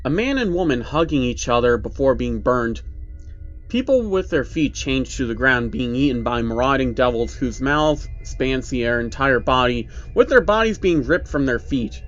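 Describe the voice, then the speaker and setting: A man with a mid-pitched voice narrates a creepy story in the first person. His intonation moves around in a lively way. The recording is a little dull, with music behind him. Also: moderate pace. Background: background music.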